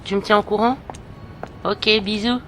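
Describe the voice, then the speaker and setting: A woman playing a brisk, encouraging and slightly bossy companion to a blind girl in an amateur audio drama. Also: medium pitch, steady tone, natural voice. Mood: brisk, matter-of-fact. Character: brisk, upbeat woman looking after a blind teenage girl. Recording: clear background noise.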